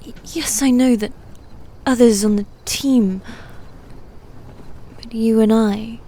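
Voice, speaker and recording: Woman acting as demon knight, female, mellow and dark tone, unhurried, formal, guarded, near-whispered ASMR roleplay, faint ambient noise. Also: mid-range voice, natural voice. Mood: guarded, hesitant. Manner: slow, hesitant, formal phrasing, often near whispering. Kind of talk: soft-spoken ASMR roleplay.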